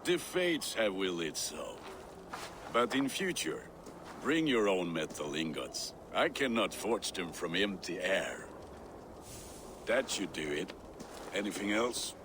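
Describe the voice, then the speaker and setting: A man's voice: sits low, sing-song, takes it slowly, background noise, heavily acted. A man playing a hearty, sentimental Viking blacksmith in a video game, speaking. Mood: businesslike, gruff. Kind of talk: video game character acting.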